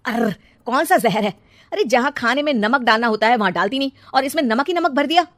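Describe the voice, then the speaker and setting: A woman's voice: on the high side, expressive, brisk, a bit bright, no echo. A woman voicing a blunt young husband in a cartoon family drama. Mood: annoyed. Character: irritable young husband, grumbling about food.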